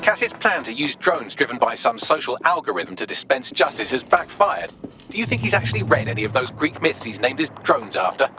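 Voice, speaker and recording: A dry, sarcastic AI. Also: male voice, fairly high pitch, very animated, a little fast, music in the background. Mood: wry. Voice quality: dry, arch wit. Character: dry, sarcastic male AI assistant.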